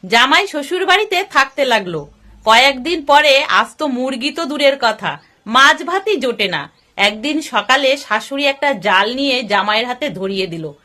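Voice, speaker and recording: A woman storyteller with a high, bright voice. She narrates a scripted family tale with a lively, swooping delivery. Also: moderate pace. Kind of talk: scripted moral story narration in character dialogue.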